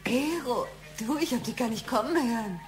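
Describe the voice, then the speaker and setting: An actress playing an anxious, placating wife in a radio play. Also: female voice, on the high side, expressive, moderate pace, over loud music, lightly acted.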